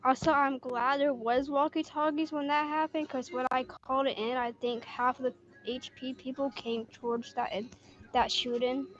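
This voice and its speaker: A woman with a high voice chats casually with fellow players. She comes through a dull, lo-fi game voice chat. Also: level tone, normal speed. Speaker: player in an online roleplay game.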